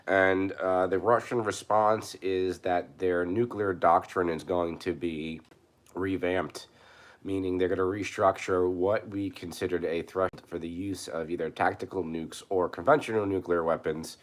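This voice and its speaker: A man with a very low, hoarse voice talks into his webcam, a bit rough from a cold. He rambles and circles back, stumbling through restarts and fillers in a casual, unscripted way. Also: fairly even intonation, a little slow. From New York. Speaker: prepper channel host. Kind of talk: casual live commentary.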